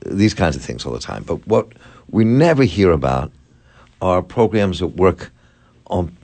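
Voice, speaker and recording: A man with a very low voice hosts a call-in radio program from the studio. He circles and restarts his sentences, repeating words as he gropes toward each question. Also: very animated, unhurried, a little mellow. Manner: rambling, repeats words while searching for a point. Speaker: radio talk show host.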